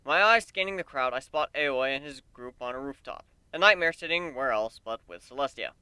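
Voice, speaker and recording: A man reading a story aloud in the first person as an amateur dramatic narrator, expressive and wry. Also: medium pitch, brisk, clear, resonant tone, dry recording.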